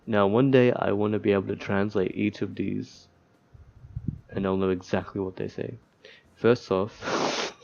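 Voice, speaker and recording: Male let's player, heavy vocal fry, casual read-aloud with offhand joking commentary, muffled low-fidelity recording. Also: darkish tone. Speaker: let's player reading a visual novel aloud. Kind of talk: casual gaming commentary mixed with reading game text aloud.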